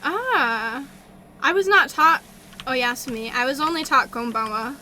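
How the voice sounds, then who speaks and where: A young woman streamer chatting casually, excitable, laughing and tripping over her words. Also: sits high, a little slow, a little hiss or hum. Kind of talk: casual chat on a livestream.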